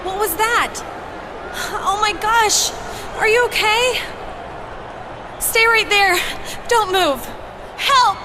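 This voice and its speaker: Game bit part: alarmed woman onlooker, piercingly high, slow delivery, noisy ambience. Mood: panicked, urgent. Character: panicked woman bystander calling for help. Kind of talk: video game cutscene acting.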